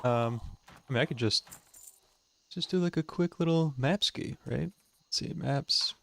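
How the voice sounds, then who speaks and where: A young man from the Chicago suburbs, chatting casually with his viewers while he plays a game, with easy fillers and a relaxed tone. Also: medium pitch, measured pace, vocal fry. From Chicago suburbs, USA. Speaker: gaming streamer. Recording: music playing behind the voice.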